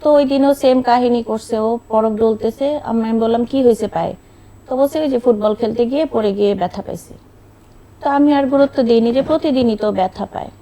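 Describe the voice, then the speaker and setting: A woman's voice: a little high, fairly quick, warm tone, poor audio. A middle-aged woman with a Bangladeshi accent, an interview guest telling a personal story conversationally and quoting remembered dialogue. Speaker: interview guest, bereaved mother.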